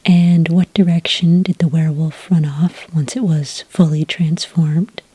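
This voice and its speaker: A woman speaking in a whispery, breathy soft voice, calm and methodical, as an agent in an ASMR roleplay, close to the microphone. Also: low-pitched. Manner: calm, methodical questioning with gentle pauses. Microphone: close microphone in a dry room.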